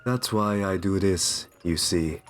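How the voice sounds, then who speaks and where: A man playing a courteous, possessive vampire master in an ASMR roleplay, soft-spoken, soothing and reassuring with genteel endearments. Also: deep voice, takes it slowly. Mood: tender, solemn. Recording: music under the voice. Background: background music.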